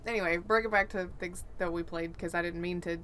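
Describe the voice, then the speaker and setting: A woman's voice: on the low side, fairly even intonation, fairly quick, a bit bright. A woman hosting a casual gaming news chat, speaking in a wry, opinionated way. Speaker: podcast host.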